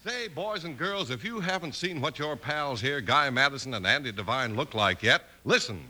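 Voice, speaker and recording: A man's voice: medium pitch, lively intonation, moderate pace, bright, forceful tone, faint music behind. A man announcing and narrating a 1950s radio western in an emphatic, scripted showman style.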